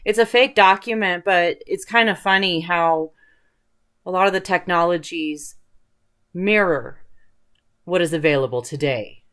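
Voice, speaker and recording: A woman's voice: fairly low pitch, measured pace, long pauses, a bit bright. A woman hosting an online video, talking in a casual, rambling, chatty way with informal enthusiasm for what interests her. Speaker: host of an online video channel.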